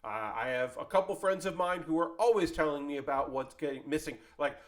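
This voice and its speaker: A man's voice: fairly high pitch, expressive, a little fast. A man hosting an anime club show, talking in a casual, unscripted, conversational way, rambling and correcting himself as he goes. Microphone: close microphone.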